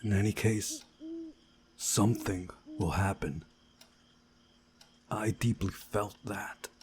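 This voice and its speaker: A man's voice: lowish voice, slow, darkish tone, a little hiss or hum. A man reading a visual novel aloud as a playthrough host, with casual asides.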